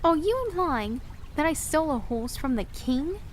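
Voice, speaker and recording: Woman playing a high-voiced, proud fantasy princess. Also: big pitch swings, normal speed, slight background noise. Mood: indignant. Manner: formal, archaic, precise diction. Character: proud young princess, soft-spoken yet defiant, sharp-tongued. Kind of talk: fantasy audio drama acting.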